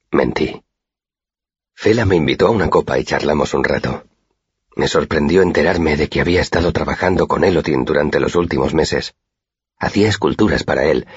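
A man's voice: extremely low pitch, breathy edge, no echo. A man reading a first-person literary story as an audiobook narrator, in a dull, muffled recording.

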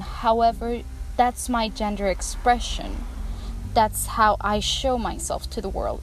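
A woman's voice: mid-range voice, slight background noise, poor audio. A young woman hosting a personal podcast, speaking candidly and thoughtfully in English, which is not her first language. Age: young adult. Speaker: podcast host. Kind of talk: personal reflective podcast monologue.

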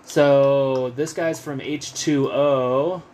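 A man with a medium-pitched voice hosts a casual music chat. He talks in loose, slangy phrases and will mouth out riffs and drum parts. There is noticeable noise behind him. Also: steady tone, drawn-out words, darkish tone. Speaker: host of a music album discussion show. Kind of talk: casual chat, album commentary.

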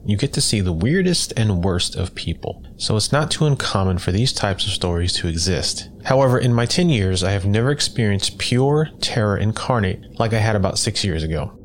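A man reading a first-person scary story as a scripted narration, in a measured, matter-of-fact way. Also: fairly low pitch. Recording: clear background noise.

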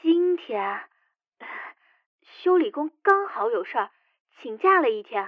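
Apologetic manager on thin phone line. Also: female voice, sits high, sing-song, a little slow, no room sound. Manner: polite, apologetic. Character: apologetic, polite female building manager. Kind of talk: Chinese audio drama acting. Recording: thin phone-like sound, dry.

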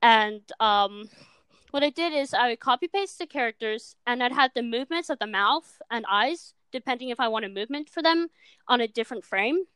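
A woman's voice: on the high side, lively intonation, a little fast, fairly bright tone, clear, resonant tone, no room sound, rough recording. A seventeen-year-old girl from Minnesota chatting as a guest about her animation work, on a cheap microphone, with lots of ums. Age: teens. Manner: chatty, filler-heavy, self-deprecating. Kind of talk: casual chat.

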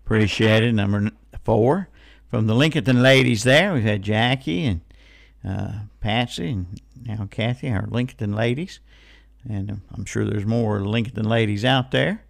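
A man's voice: on the low side, unhurried, vocal fry. A man hosting a casual live radio call-in show, folksy and given to repeating phrases and numbers.

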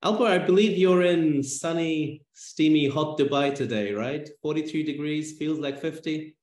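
A man's voice: a little high, normal speed, a little mellow. A man hosting a podcast, interviewing in a casual conversational style. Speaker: podcast host. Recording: roomy, echoing sound.

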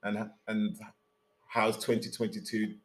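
A man hosting a casual chat show, hoarse from losing his voice, jokey and chatty, stumbling now and then. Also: on the low side, little pitch movement, a little slow. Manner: jokey, chatty, stumbles while reading an introduction. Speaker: host. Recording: echoey room.

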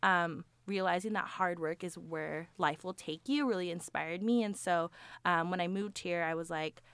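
A woman's voice: on the low side, moderate pace, fairly bright tone, clean tone, no room sound. A young woman from California, a podcast guest, answering questions earnestly in casual talk with many fillers.

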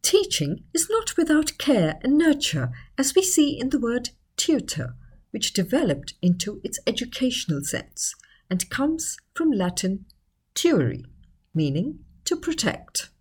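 A woman reading a scripted educational article in a measured, explanatory manner. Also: a little high, sing-song, darkish tone, slightly rough. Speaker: article narrator.

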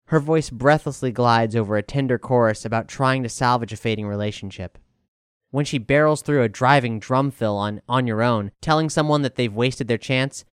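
A man's voice: mid-range voice, smooth, no room sound, clean studio sound. A man reading a scripted album review as narration.

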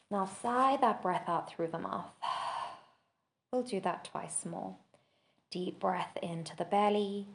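Slow, low-voiced woman guiding meditation. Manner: gentle, measured instruction with long pauses. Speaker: podcast host and meditation teacher. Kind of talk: guided body scan meditation.

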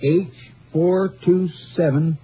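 Folksy, higher-voiced Texas sheriff. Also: male voice, fairly even intonation, slow pace, slight background noise. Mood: curious, dry. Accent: Texan. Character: folksy, easygoing rural Texas sheriff, loyal sidekick. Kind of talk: 1950s radio drama acting. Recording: narrow 1950s radio recording, music behind.

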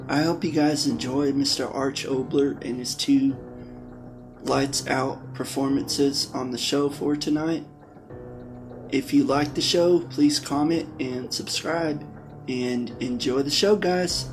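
Guy host reading an intro, mid voice, really slow n halting, faint guitar behind. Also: mid-range voice, steady tone. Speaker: show host. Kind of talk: scripted narration introducing old radio plays. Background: plucked guitar music.

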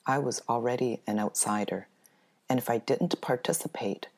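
Very deep-voiced woman reading a horror story. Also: normal speed, husky. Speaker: story reader. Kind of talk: scripted first-person horror story reading.

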